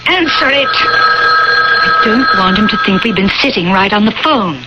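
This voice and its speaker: An actress playing a seductive, sinister enchantress who feeds on men's youth, with coaxing, deliberate delivery in a radio mystery drama. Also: female voice, mid-range voice, animated, lightly acted. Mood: controlled, falsely polite. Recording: noisy, muffled old radio broadcast.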